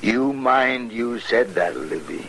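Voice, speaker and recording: A man playing a gruff, hard-drinking frontier father in a 1950s radio drama, gruff and threatening. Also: mid-range voice, expressive, slow, a bit bright, poor audio. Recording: old radio drama with noise.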